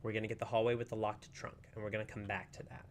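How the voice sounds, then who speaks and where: A man streaming a game in casual, rambling chat, slightly hoarse as he recovers from a lost voice. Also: lowish voice, level tone, fast. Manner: chatty, upbeat, rambling with many fillers. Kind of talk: casual livestream chat while playing.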